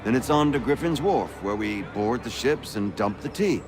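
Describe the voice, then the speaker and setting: An actor playing a confident colonial rebel leader in a video game, with a breezy, genial and urbane manner. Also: male voice, medium pitch, lively intonation, moderate pace, music in the background, close to an everyday voice. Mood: brisk, assured.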